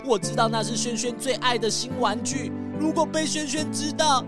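Woman playing a schoolkid pony, highish voice, stammering, pleading, guilty, children's radio play, prominent music bed. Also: moderate pace, close to an everyday voice. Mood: guilty, confessing. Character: young schoolkid pony, nervous, defensive, guilt-ridden. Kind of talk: children's detective audio drama. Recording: loud music behind.

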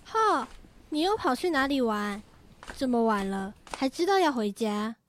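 A woman's voice: on the high side, very animated, unhurried, a little hiss or hum. A teenage girl acting a teasing, dramatic grown-up older sister in a radio drama. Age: teens.

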